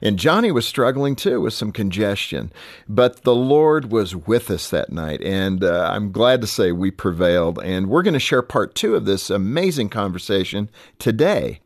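A man hosting a radio program, talking in an animated, conversational way. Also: on the low side, normal speed, no echo, studio quality. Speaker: host. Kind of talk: radio program host chat.

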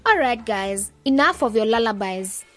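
An actress playing a bossy, sharp-tongued young woman radio presenter in a radio drama, brisk, no-nonsense and mocking. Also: fairly high pitch, animated, faint music behind.

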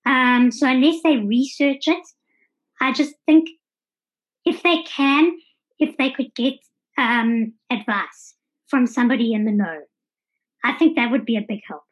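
A South African woman talking casually as a podcast guest. Her voice is fairly high and husky, and the audio sounds a little dull and lo-fi. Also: measured pace, long pauses. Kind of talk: casual conversational interview answers.

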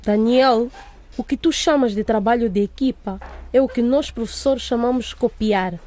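An actress playing a stern, watchful school principal in a radio drama, speaking with authority. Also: female voice, on the high side, moderate pace, dark tone, close to an everyday voice. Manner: authoritative, crisp commands.

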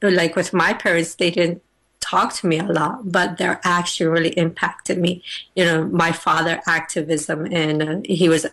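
Soft-spoken woman from Afghanistan, halting. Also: lowish voice, flat delivery, poor audio. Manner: halting, many restarts and fillers. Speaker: author and teacher, guest. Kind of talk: radio interview.

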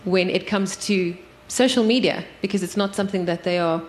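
A woman's voice: mid-range voice, brisk. A woman explaining her work in an informal panel discussion. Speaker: radio programming head on a panel.

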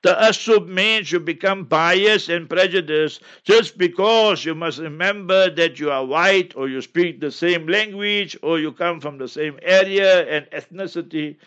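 A man's voice: high voice, measured pace, hardly any pauses, fairly bright tone, muffled recording. A man from South Africa with a South African accent, whose first language is Memon, answering listener questions as an Islamic scholar in an emphatic, preacherly way.

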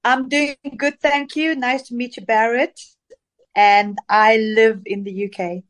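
A middle-aged woman from Zambia, an interview guest, talking through her career in a relaxed, reflective way with many fillers. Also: fairly high pitch, measured pace. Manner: warm, reflective storytelling with fillers. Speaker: interview guest, dance singer. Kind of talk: casual interview conversation.

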